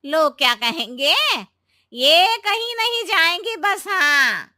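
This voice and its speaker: A woman acts out a domineering village mother-in-law in a cartoon drama. Her voice is high and very sharp, and she scolds at a slow, drawn-out pace with heavy sarcasm. The recording is close and dry. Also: big pitch swings, no room sound, exaggerated voice. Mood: indignant. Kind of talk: Hindi cartoon story dubbing.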